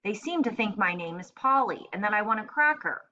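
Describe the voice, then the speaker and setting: A grumpy pet parrot played by a woman reader tells its storybook diary with sarcastic, indignant flair. The delivery is bright and very animated, swinging from deadpan to exasperated, on a slightly dull recording. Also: medium pitch, a little fast. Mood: deadpan, weary. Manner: deadpan, exasperated, dramatic complaining. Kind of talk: children's storybook read-aloud in diary form.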